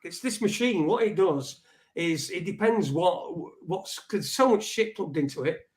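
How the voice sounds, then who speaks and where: Rambling high-voiced male host. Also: animated, measured pace, a crowd in the background. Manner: rambling, with restarts and swearing. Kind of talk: casual live stream chat. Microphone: desk mic and webcam at home.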